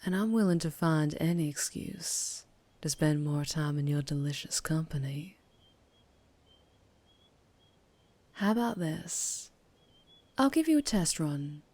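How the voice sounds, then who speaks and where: A woman playing a mysterious, wary and teasing female vampire biker with a Southern US accent, in an intimate ASMR roleplay with breathy whispers. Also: lively intonation, darkish tone, no echo. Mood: flirtatious, ominous. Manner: slow, low, teasing, lapsing into breathy whispers. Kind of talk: ASMR roleplay, scripted character acting.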